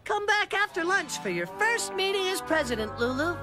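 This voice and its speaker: A woman's voice: extremely high pitch, big pitch swings, moderate pace. A woman voicing Tubby, a chubby, boastful boy who leads a club and talks in a scheming, bossy way. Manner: scheming, bossy, pleased with himself. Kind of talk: cartoon voice acting. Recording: studio voice over loud cartoon music.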